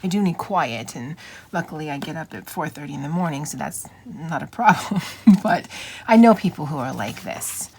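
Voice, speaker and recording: A woman's voice: low-pitched, normal speed. A woman chatting casually as she comments on a craft flip-through, musing informally with wry asides. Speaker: craft channel host. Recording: clear steady background noise.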